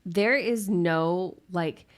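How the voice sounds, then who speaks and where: A woman's voice: on the low side, very slow. A young adult woman co-hosting a podcast, pregnant, speaking in a blunt, frank casual chat with wry asides. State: pregnant, late term. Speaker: podcast co-host.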